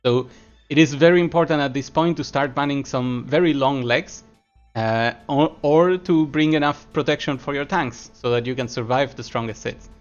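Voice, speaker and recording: A man from Argentina commentates a live esports match at a middle pitch. He talks in a loose, chatty way and turns from analysis to chat messages. The stream audio is a little muffled, and soft music plays underneath. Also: moderate pace. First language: Spanish. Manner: chatty, laughs often, answers chat between analysis. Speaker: esports caster.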